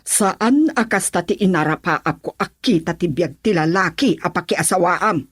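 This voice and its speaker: A woman's voice: lowish voice, animated, moderate pace, slightly rough, dry recording, studio quality. An actress playing a stern, disapproving middle-aged mother, indignant and scolding, in a radio drama.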